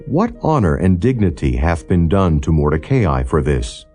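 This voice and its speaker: A man's voice: sits low, sing-song, moderate pace, mellow, faint music behind. A man voicing an eager, generous king in a scripture audiobook, with regal, formal, questioning delivery. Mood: regal, inquiring. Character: eager, generous king addressing his queen and court.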